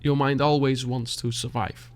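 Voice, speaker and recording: A Dutch man, a native Dutch speaker, talking softly and haltingly, searching for words and sighing as he reflects after a song. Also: medium pitch, little pitch movement. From Netherlands. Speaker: beatboxer reacting to music. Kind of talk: reflective reaction talk.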